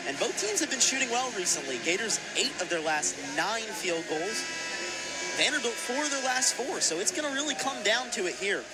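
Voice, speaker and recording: A young man calling basketball play-by-play on the radio in clipped, excitable phrases. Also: high-pitched. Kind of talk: live sports commentary. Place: courtside in an indoor basketball arena. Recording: loud arena ambience and noise.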